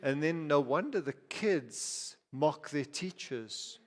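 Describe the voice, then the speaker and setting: A man's voice: fairly high pitch, lively intonation, takes it slowly. A man from Zimbabwe preaching a sermon as pastor, talking conversationally with frequent restarts, asides and repeated words. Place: church. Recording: reverberant hall.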